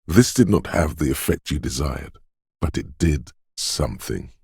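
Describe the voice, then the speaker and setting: A man voicing a detective's quick-witted inner instinct in a video game, narrating in a measured, knowing, dramatic way. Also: extremely low pitch, expressive, gravelly, a little mellow, husky, no room sound, clean studio sound, heavily acted. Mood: tense, narrating. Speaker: voice actor. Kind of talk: second-person game narration.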